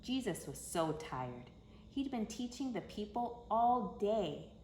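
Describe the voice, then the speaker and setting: A woman's voice: medium pitch, big pitch swings, slow, mellow. A woman reading a children's picture book aloud expressively, with dramatic, emphatic phrasing. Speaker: storyteller for children. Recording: echoey room, faint background noise.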